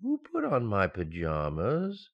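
An actor playing a flustered, easily shocked husband and father-to-be in a radio sitcom, excitable and dithering. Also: on the low side, a little slow, breathy edge, highs cut off, full character voice. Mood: concerned, puzzled. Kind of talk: old-time radio sitcom acting.

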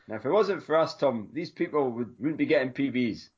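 A man's voice: medium pitch, animated, a little fast, darkish tone, dull audio. A Scottish man co-hosting a running podcast, joking and self-mocking in casual chat. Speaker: podcast co-host.

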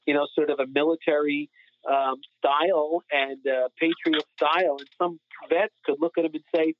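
An American man co-hosting a sports podcast, chatting casually in an animated, opinionated, colloquial way. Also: on the high side, moderate pace, slight background noise. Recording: muffled phone-like connection.

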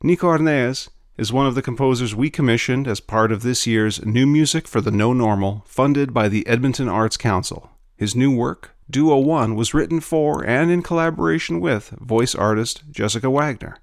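A man narrating a podcast from a script, with a measured, formal read. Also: medium pitch, no echo, clean studio sound.

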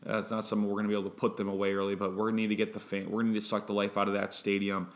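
A man hosting a fan podcast, giving a casual sports preview in a flat, monotone way, with many ums and restarts. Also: on the low side, very fast.

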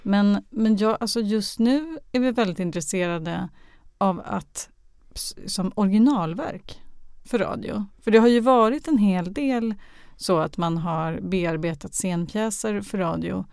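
A woman's voice: mid-range voice, vocal fry, hardly any pauses, darkish tone, clean tone, dry recording, clean studio sound. A woman being interviewed as a radio drama professional, speaking conversationally and hesitantly as she searches for her words. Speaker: radio drama director or producer, interview guest. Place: close, dry podcast recording.

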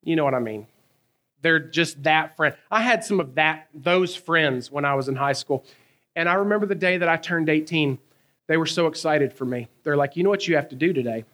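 A man, preaching a sermon in a conversational, animated way, asking his listeners questions and telling stories from his own life. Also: a little high, a little fast. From American South. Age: 30s. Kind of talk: sermon with personal storytelling.

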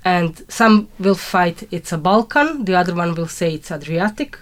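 A woman's voice: lowish voice, lively intonation, moderate pace. A woman from Croatia with a Croatian accent, talking conversationally as an interview guest in English, her second language.

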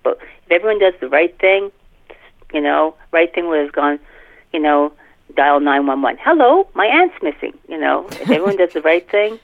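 A woman's voice: low-pitched, animated, moderate pace. A woman author chatting as an interview guest, wry and emphatic, repeating phrases, heard over a phone line. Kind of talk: casual interview chat. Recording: narrow, tinny phone line.

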